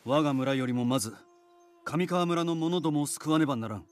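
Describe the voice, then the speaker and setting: An actor playing a stern, dutiful young samurai in a game, with terse, solemn, archaic warrior speech. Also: male voice, a little high, a little fast, clean tone, natural voice. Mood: firm. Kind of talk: period-drama game voice acting.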